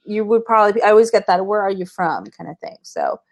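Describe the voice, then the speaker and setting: A cheerful woman who grew up in Costa Rica talks shop as a guest on a live video show. She is relaxed and chatty, laughing often, her voice sitting at an ordinary middle pitch. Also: fast talker. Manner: bubbly, laughs often, bilingual. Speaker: guest, marketing consultant. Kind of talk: casual livestream chat.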